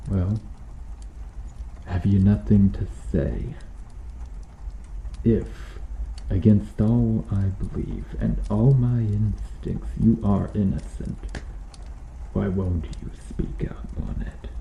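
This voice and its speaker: A cruel medieval knight played by a man, low in pitch and very slow in delivery. He is brooding, vengeful and menacing, speaking in formal, old-fashioned phrases as a dramatic ASMR roleplay. The sound is notably roomy. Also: steady tone, creaky, dark tone. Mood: accusing, probing. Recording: muffled, roomy sound with background noise.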